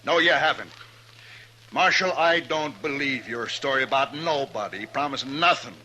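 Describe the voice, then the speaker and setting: A man's voice: mid-range voice, normal speed, bright, forceful tone, rough recording. A stubborn, grief-struck older rancher out for revenge in a radio western. His rural American voice is very tense and piercing, blunt and accusing. The old recording carries faint noise. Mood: bitter, threatening. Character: grieving, stubborn, vengeful older rancher father. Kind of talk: 1950s radio western acting.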